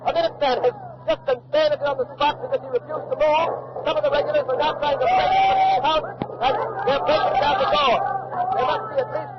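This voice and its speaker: 1940s radio battlefield reporter, very high urgent voice, dull old recording buried in loud crowd noise. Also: male voice, big pitch swings. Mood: alarmed, urgent. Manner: urgent, halting eyewitness reporting. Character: urgent male radio war reporter, breathless eyewitness at a battle. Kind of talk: 1940s radio acting, live on-the-spot news commentary.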